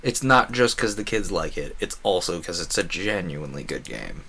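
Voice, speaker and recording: A man's voice: low-pitched, sing-song, normal speed, creaky, pushed and bright, breathy edge. A man doing casual gameplay commentary as a streamer, talking in a rambling, conversational way with frequent asides. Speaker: video game streamer.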